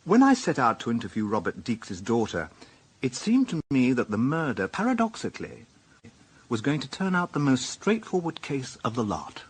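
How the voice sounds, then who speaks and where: An actor playing a wry British police inspector who narrates a radio drama in a dry, reflective way. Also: male voice, medium pitch, wildly swooping pitch, normal speed, slightly rough, poor audio. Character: wry male police inspector narrating his own case.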